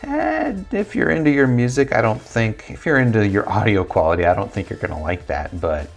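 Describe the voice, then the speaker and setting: An American man in his thirties talks casually through a gadget review. His voice sits low, picked up by a lapel mic in a small studio, with faint music behind him. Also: big pitch swings, fairly quick, gravelly. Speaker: tech product reviewer. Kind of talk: casual unboxing review talk to camera.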